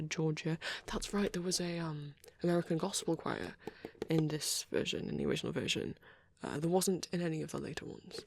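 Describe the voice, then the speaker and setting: A man playing a shy, soft-spoken vampire boy in an intimate ASMR roleplay, rambling hesitantly and bashfully close to the microphone, often near a whisper. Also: on the high side, gravelly, no room sound. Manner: hesitant, bashful, softly nostalgic, often trailing off.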